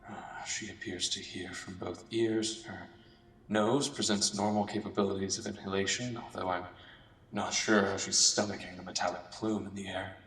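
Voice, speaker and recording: A man acting an uneasy, squeamish but conscientious doctor in a radio drama, speaking formally and haltingly in internal monologue. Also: sits low, little pitch movement, a bit bright, roomy sound, distant mic, rough recording. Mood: uneasy, clinical. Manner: halting, formal, self-questioning.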